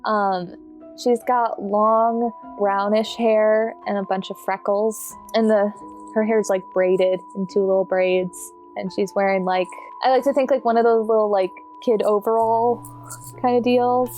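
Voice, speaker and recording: A woman's voice: medium pitch, little pitch movement, a little slow, a little mellow, soft background music, poor audio. A woman chatting informally as a player in a tabletop roleplaying game, describing what a character does. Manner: informal, conversational. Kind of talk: casual improvised roleplay chat.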